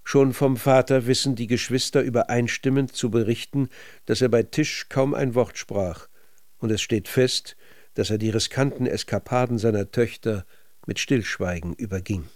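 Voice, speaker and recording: A man narrating a literary radio documentary in a measured, sober manner. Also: mid-range voice, steady tone, a little mellow, no echo, clean studio sound.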